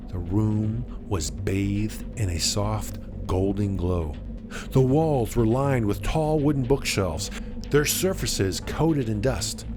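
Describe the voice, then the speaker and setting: A man narrating a suspenseful story in a dramatic, measured way, with deliberate pauses. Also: mid-range voice. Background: music.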